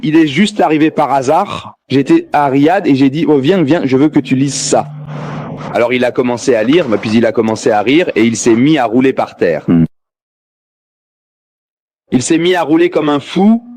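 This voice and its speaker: Man lecturing over a noisy recording. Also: a little high. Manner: rhetorical, questions the audience, jokes. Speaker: religious debate lecturer. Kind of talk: dubbed public lecture.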